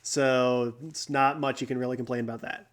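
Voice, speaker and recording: A man's voice: mid-range voice, little pitch movement, moderate pace. A young man hosting a casual sports recap, talking with a stuffy nose from a cold, rambling with lots of fillers and restarts. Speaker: podcast host.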